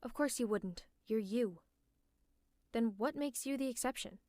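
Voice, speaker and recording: A woman playing a sardonic female supervillain in an ASMR roleplay, teasing and scolding the listener in a gruffly caring way. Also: on the high side, a little slow, darkish tone, no room sound, close to an everyday voice. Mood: sarcastic scolding. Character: sardonic female supervillain, gruffly fussing over a sick hero. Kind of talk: ASMR roleplay, one-sided dialogue to the listener. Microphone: close mic, dry.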